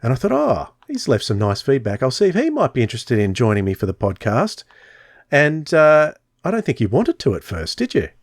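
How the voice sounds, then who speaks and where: A man's voice: medium pitch, wildly swooping pitch, a little fast, dry recording. Chatty man hosting a podcast. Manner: chatty, storytelling, many fillers. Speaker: podcast host. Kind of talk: casual podcast chat.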